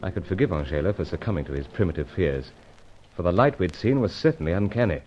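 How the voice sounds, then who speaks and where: Stiff-upper-lip British agent, lowish voice, clipped and understated, old radio adventure with muffled sound. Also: male voice, expressive, fast talker. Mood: grim, suspenseful. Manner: clipped, understated, old-fashioned gentlemanly speech. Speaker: lead character and narrator. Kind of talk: vintage adventure serial narration and dialogue. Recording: dull vintage radio sound.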